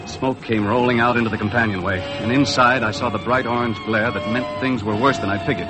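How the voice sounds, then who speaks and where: A man's voice: mid-range voice, lightly acted, low-quality recording. An actor playing a rugged, commanding boat skipper who tells his sea adventure tersely in 1950s radio style. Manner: terse, dramatic storytelling. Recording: 1950s radio drama with loud music.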